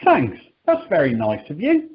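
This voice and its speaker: Woman playing an animated, exasperated check-in man. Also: sits low, measured pace, full character voice. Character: exasperated, polite male airline check-in clerk. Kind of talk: amateur radio comedy acting. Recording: dull telephone conference line.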